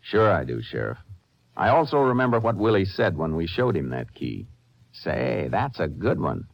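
An actor playing a level-headed, measured Texas Ranger investigator in a 1950s radio drama. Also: male voice, low-pitched, sing-song, vocal fry, highs cut off, heavily acted. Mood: calm, doubting. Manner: measured, matter-of-fact. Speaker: lead actor and first-person narrator. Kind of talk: 1950s radio drama, understated dialogue and narration. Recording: hissy 1950s radio recording.

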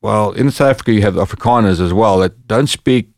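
Podcast guest, Zimbabwean man, low-ish voice, animated storyteller, dry room. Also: fairly quick, creaky, no room sound. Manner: animated anecdotes, lively quoted dialogue. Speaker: podcast guest, former bodyguard. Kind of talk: casual storytelling.

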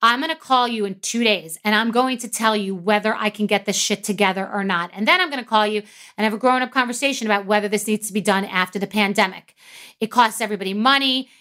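Blunt, bright-voiced woman telling a story. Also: a little high. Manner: blunt, swears freely. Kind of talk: casual storytelling.

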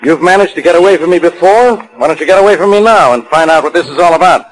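An actor playing a gruff, bossy, exasperated police inspector with curt commands, in 1940s radio acting style. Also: male voice, fairly high pitch, quick pace, lightly acted, poor audio. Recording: old 1940s radio recording with music.

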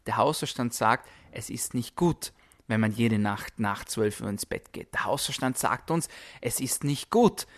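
A man hosts a motivational podcast on his own. His voice sits at a medium pitch. He speaks emphatically, leaning on rhetorical questions and repetition. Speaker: podcast host, health coach.

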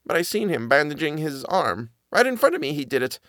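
A man's voice: on the high side, big pitch swings, a bit bright, no room sound, studio quality, pitched above the performer's own voice. Anxious stammering nanny, a man reading her. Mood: fearful. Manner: halting, stammering, plain servant speech. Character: frightened old nanny, a middle-aged housekeeper woman.

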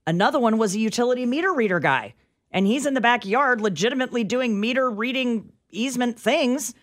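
A woman's voice: fairly high pitch, expressive, fairly quick. An American woman co-hosting a radio show with casual comic banter and a teasing, mock-official delivery.

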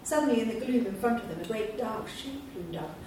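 A woman's voice: fairly high pitch, expressive, fairly quick, noisy background. Woman reading a story in a hall. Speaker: novelist reading aloud. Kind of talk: storybook reading at a live event. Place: large hall at a live event. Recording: echoey hall from far off.

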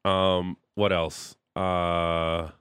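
A man's voice: deep voice, flat delivery, very slow, fairly bright tone, clear, resonant tone, no room sound. A man with an Ontario accent hosting a sports radio show, chatting casually and poking fun at himself. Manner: chatty, self-mocking. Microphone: studio mic.

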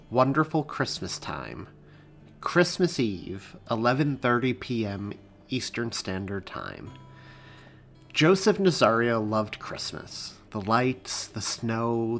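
A man's voice: mid-range voice, fairly bright tone. Male storyteller, faint music behind. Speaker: podcast host and author reading. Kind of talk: scripted narration, audiobook-style storytelling.

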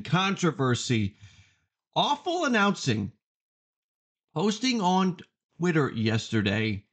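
Emphatic man hosting a sports podcast. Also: a little high. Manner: emphatic, deliberate repetition for effect. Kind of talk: opinionated sports commentary podcast.

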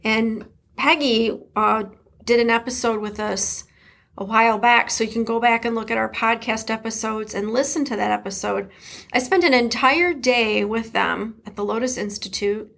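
A woman's voice: a little high, fairly even intonation, normal speed, vocal fry. A middle-aged woman from Detroit with a Midwest accent, hosting her podcast in a chatty, emphatic way. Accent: Detroit Midwest American. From Detroit, USA. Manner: warm, chatty, emphatic, with laughs and shout-outs. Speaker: podcast host. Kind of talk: casual solo podcast talk.

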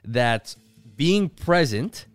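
A man in his thirties co-hosting a casual podcast, talking in an animated way and acting out quoted voices. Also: medium pitch, very slow, no room sound, soft background music. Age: 30s. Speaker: podcast co-host.

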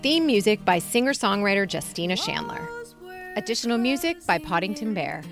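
A woman's voice: medium pitch, big pitch swings, brisk, music in the background. A woman co-hosting a podcast, talking with enthusiasm in a studio as she gives commentary. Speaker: podcast co-host. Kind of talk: casual discussion and commentary. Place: recording studio.

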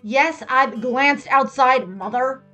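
High female voice, narrator playing desperate thirtyish mom, snappish, impatient, emphatic, storybook reading, music behind. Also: slow, bright, forceful tone, exaggerated voice. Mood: annoyed, desperate. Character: exasperated, desperate thirty-one-year-old mother, snappish and impatient.

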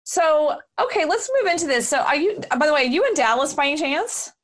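A woman from Houston, hosting an interview in an emphatic, animated way. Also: high-pitched, a little fast. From Houston, Texas. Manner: emphatic, animated, talks over guest. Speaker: podcast host. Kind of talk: casual interview chat.

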